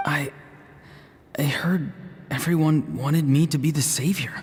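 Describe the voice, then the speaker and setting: A performer playing a gentle, troubled young male warrior in a video game, speaking in a soft, hesitant, musing way. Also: mid-range voice, unhurried, big-room sound, lightly acted. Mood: wistful.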